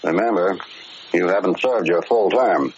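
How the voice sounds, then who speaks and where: A man's voice: deep voice, measured pace. An actor in a 1940s radio drama playing a paternal, exasperated prison warden, earnest and insistent in his questioning. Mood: probing, firm. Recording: muffled, hissy vintage radio broadcast recording.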